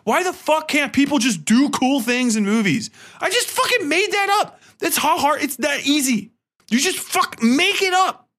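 Bright-voiced man, slangy rambling podcast host. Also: very high-pitched, lively intonation, moderate pace. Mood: exasperated. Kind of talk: casual solo film review and rant.

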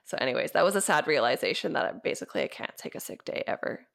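A young woman with a congested, stuffy voice chats casually as a podcast co-host. Her low voice carries a gravelly edge and swings widely in pitch. She rambles through fillers and breaks into quick laughs. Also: lively intonation, a bit bright. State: recovering from illness, stuffy. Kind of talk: casual chat.

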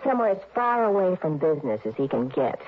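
Casting: coy young party wife, lowish lilting voice, tinny vintage radio with slight noise. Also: female voice, sing-song, fast. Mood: carefree. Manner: coy, breezy, slangy. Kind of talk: 1960s radio drama acting.

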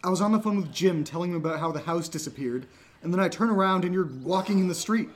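A man's voice: high-pitched, fast talker, natural voice. An actor playing an alarmed, impatient young man in a naturalistic horror audio drama, questioning insistently. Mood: frantic, angry.

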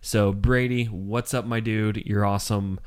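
A man co-hosting a podcast in casual chat. He has a mild speech impediment and rambles and jokes with quick asides. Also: on the low side, fairly even intonation, smooth. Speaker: podcast co-host.

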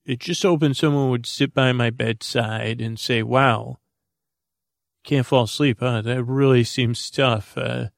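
A man hosting a bedtime podcast with a creaky voice, rambling in a halting, goofy, improvised monologue that meanders into tangents. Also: mid-range voice, steady tone, dry recording, clean studio sound. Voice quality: gravelly, creaky. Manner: goofy, whimsical. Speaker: podcast host.

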